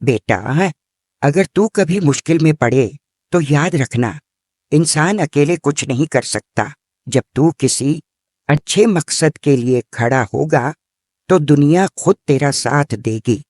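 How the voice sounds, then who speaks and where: A man's voice: a little high, animated, slow, a little mellow, no room sound, clean studio sound. A man voicing a loving, dying old mother who speaks tenderly to her son in a cartoon story. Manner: tender, blessing.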